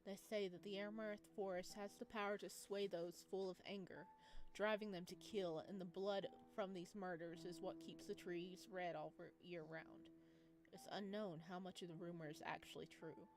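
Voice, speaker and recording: A woman's voice: on the low side, steady tone, normal speed. A woman doing playful let's-play commentary on a visual novel, reading narration aloud and teasingly talking back to the characters. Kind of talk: playful reactive game commentary mixed with read-aloud narration. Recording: music behind the voice. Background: game music.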